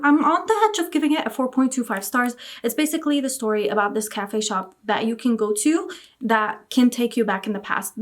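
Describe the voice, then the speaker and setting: A young woman from Iraq chatting casually about books she read, gushing and repeating words like "really" and "very". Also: a little high. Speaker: book vlogger. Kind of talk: casual book review chat.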